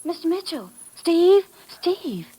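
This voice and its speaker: A woman's voice: extremely high pitch, very animated, slow pace, dark tone, exaggerated voice, low-quality recording. An actress playing a guarded young woman in an old radio spy thriller, cool and evasive in manner. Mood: alarmed. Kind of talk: 1950s radio drama acting.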